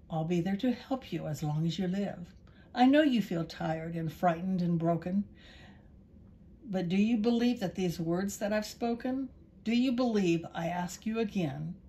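A woman voicing a wise, gentle man who speaks softly and calmly in a storybook reading. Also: medium pitch, natural voice. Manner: soft, gentle, calm.

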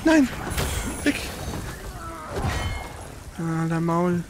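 A gamer giving casual, colloquial commentary on their own play, exclaiming readily and swearing mildly, with an excitable manner. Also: mid-range voice, extremely slow, noisy background.

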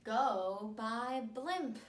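Slow, high-voiced young woman reading to children. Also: animated. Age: young adult. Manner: simple, emphatic, repetitive phrasing for young children. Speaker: school speech therapist. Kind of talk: children's picture-book read-aloud. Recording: echoey room with noticeable hiss.

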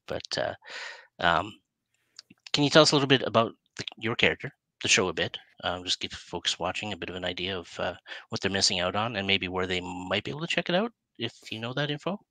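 A middle-aged Canadian man hosts a casual interview. His voice is fairly low but clearly bright and forward. It is recorded dry on a headset, with little room sound. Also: no room sound, poor audio. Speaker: interview host. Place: small room indoors, video call.

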